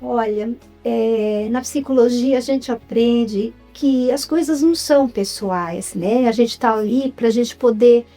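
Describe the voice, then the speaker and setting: A woman's voice: a little high, a little slow, few pauses, warm tone, soft background music. A woman with a Brazilian accent telling stories conversationally as an interview guest, reflective and often checking in with the listener. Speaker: interview guest, writer and actress. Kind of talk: casual conversational storytelling.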